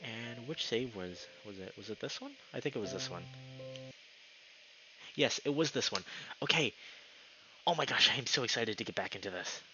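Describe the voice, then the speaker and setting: A man's voice: mid-range voice, lively intonation, moderate pace, pauses often, pushed and bright, dull audio, soft background music. A man live streaming a game, chatting casually and reading the story text aloud, sometimes tripping over words. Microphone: streaming mic with noise filtering.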